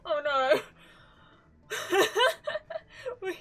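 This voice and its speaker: A woman doing casual live commentary on a game, with playful, expressive reactions. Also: sits high, very slow. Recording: game music behind the voice. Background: video game music.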